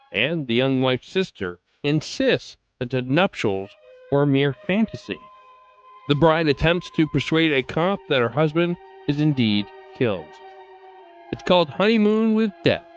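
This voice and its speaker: An American man hosting a podcast with scripted narration in a smooth, resonant voice. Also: mid-range voice. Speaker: podcast host. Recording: slightly dull sound, faint music bed.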